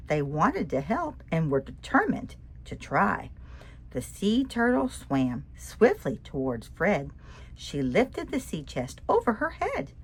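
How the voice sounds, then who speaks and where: Lady reading a kids' book out loud, slow and breathy, voice swoops all over. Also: medium pitch. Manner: expressive, sing-song, asks the listener. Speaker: children's book reader. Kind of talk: picture book read-aloud.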